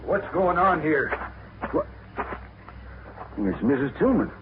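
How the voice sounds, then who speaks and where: An actor playing a nervous, blustering frontier rancher in 1950s radio drama style. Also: male voice, fairly high pitch, sing-song, takes it slowly. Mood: alarmed. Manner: blustering, swoops up and down. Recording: tinny, hissy old radio broadcast.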